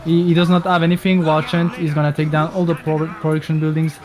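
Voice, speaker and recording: A man co-casting a strategy game, explaining tactics in halting sentences with many restarts. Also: on the high side, completely flat delivery, a little mellow. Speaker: co-caster. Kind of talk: game analysis commentary. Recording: music playing behind.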